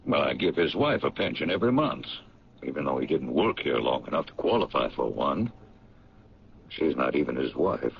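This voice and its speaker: A man's voice: rumbling low, animated, moderate pace, gravelly, slight background noise, heavily acted. An actor playing a rambling male factory owner in a 1970s radio drama. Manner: rambling, chatty. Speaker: paint factory boss. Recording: dull lo-fi 1970s radio recording.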